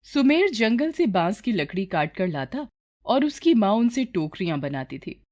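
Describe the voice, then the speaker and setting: A woman's voice: medium pitch, sing-song, moderate pace, darkish tone. A woman narrating a scripted moral story as the storyteller.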